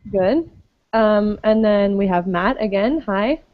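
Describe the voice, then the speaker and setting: A woman who teaches English, leading an online group class in a patient, friendly way with many pauses and ums. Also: mid-range voice, no room sound. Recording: dull lo-fi web call audio.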